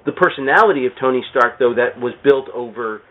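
A man's voice: medium pitch, brisk. Man on thin, phone-like audio. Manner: rambling, jokey, self-interrupting. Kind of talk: casual comics and film chat.

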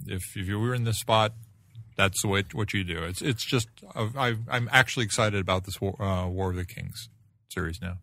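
A man's voice: lowish voice, fairly quick, pushed and bright, no echo. A man co-hosting a podcast, giving his casual take on comic books. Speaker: podcast co-host. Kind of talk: casual comic book review chat.

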